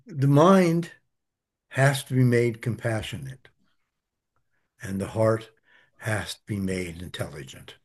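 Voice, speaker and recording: Husky earnest male teacher. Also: on the low side, lively intonation, slow, pauses often. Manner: earnest, emphatic, repeats key phrases. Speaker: teacher of a study group. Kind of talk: answering questions in a spiritual study session.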